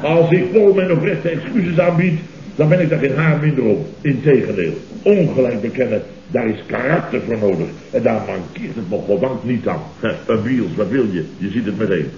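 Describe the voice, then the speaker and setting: An actor playing a blustering, self-righteous conservative boss in a Dutch radio comedy, speaking in repetitive, exclamatory bursts with a Dutch accent. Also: male voice, medium pitch, normal speed, warm tone, lightly acted. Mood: self-satisfied. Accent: Dutch, from the Netherlands. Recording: dull, noisy, roomy old radio recording.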